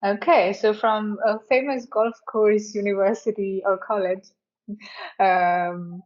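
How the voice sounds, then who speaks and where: A woman's voice: mid-range voice, steady tone, slow, a little mellow. A woman hosting a podcast interview, friendly and encouraging as she introduces and questions her guest. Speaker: podcast host. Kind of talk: casual interview. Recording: dull lo-fi audio.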